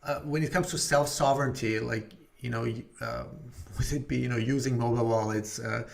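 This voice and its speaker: A man's voice: medium pitch, steady tone, a little fast, creaky. A man hosting an interview, asking long, rambling questions that stall on "like" and "you know". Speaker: host.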